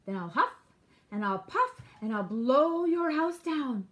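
A woman voicing a greedy, blustering male storybook wolf who bellows drawn-out threats. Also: a little high, animated. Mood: menacing, loud.

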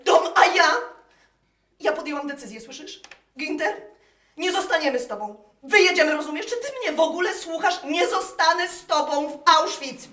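An actress playing a distraught, scornful wife in a radio drama, speaking with theatrical emotion. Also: female voice, sits high, animated, normal speed, a bit bright. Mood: firm, hurt. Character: distraught, scornful German wife pleading with her scientist husband. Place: live performance inside a wooden barn. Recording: echoey barn stage recording.

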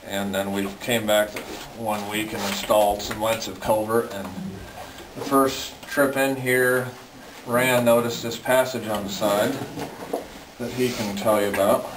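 A middle-aged man casually recounting an expedition as a cave explorer, in a halting, piecemeal way. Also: on the low side, flat delivery. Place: inside a cave. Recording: echoey, distant, noisy camera sound.

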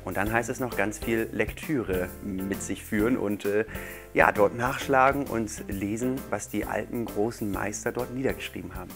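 A man's voice: on the low side, normal speed, gravelly, music in the background. A man in his thirties speaking casually and playfully as a magician showing tricks to children. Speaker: magician, show guest. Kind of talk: casual demonstration patter for children. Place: small furnished TV studio set, indoors.

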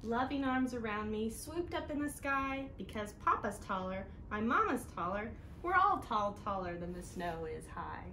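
Woman, high voice, children's storytime reader, animated sing-song delivery, roomy indoor recording. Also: normal speed, hardly any pauses. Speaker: children's storytime host at a library. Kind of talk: reading a picture book aloud to children. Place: living room indoors, seated on a couch. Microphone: camera a few feet away. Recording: roomy home sound with noticeable background noise.

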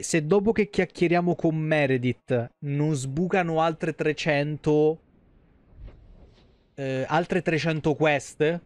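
A man with a fairly high voice and a Roman accent chats through a live game stream. He thinks aloud in colloquial, salty language. The sound is dry and close to the microphone. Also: no room sound. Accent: central Italian, Roman. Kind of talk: casual live gameplay commentary. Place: indoor streaming setup at home.

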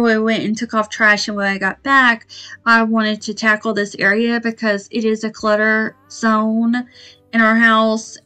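A woman, chatting casually to camera in her kitchen about homemaking, rambling with fillers. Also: a little high. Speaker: homemaking vlogger. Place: small home kitchen, indoors. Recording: soft background music.

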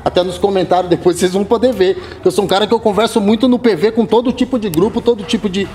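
Rapper guy on a panel, high voice, slangy, stumbles a lot, faint noise. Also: fast, mellow. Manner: slangy and loose, many restarts and "tá ligado". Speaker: guest rapper. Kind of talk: casual panel chat. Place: community center room, seated on sofa with panel.

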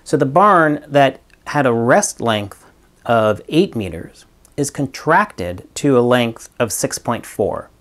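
A man's voice: medium pitch, very animated, slow pace, fairly bright tone. A middle-aged man gives a physics lesson to a webcam in a small room. He talks it through step by step and thinks aloud with ums as he goes. His voice sits in an ordinary middle range. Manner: explains with stuffed animals and a toy barn, thinking aloud with ums. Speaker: physics professor. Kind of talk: recorded video lecture with props. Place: small room in front of a green wall.

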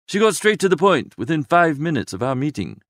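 A man reading a first-person literary story aloud as an expressive narrator. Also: a little high, brisk, no echo, studio quality. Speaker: audiobook narrator.

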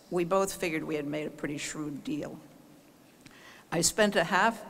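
Older female commencement speaker, gravelly voice, measured anecdotal delivery. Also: deep voice, a little hiss or hum. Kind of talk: formal commencement speech. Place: large auditorium stage. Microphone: podium mic on stand.

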